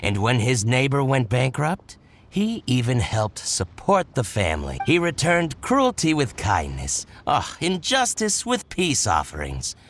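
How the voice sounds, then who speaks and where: A man's voice: medium pitch, huge pitch swings, a little slow, a little hiss or hum. A man voicing a shrewd, possessive, self-interested merchant in a video game, with a fussy, dramatic, haggling manner. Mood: musing. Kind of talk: video game character voice acting.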